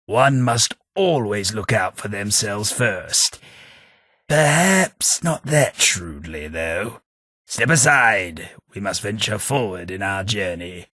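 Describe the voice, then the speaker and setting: A haughty old British aristocrat ghoul from a video game. The low voice is raspy yet bright and forceful. He speaks in a formal, pompous way, with dry wit. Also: no room sound, heavily acted. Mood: wry, pompous. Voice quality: raspy, gravelly. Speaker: video game companion character. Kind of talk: scripted video game voice acting.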